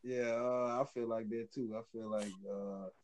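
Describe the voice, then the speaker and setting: A man's voice: medium pitch, level tone, a little slow, a little mellow. A man from Louisiana in casual chat, laid-back and stumbling over his words. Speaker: chat partner.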